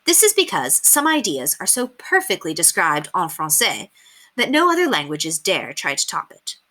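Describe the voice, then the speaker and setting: A woman reading a children's book aloud as an expressive, emphatic storyteller. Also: on the low side, normal speed. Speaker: read-aloud reader.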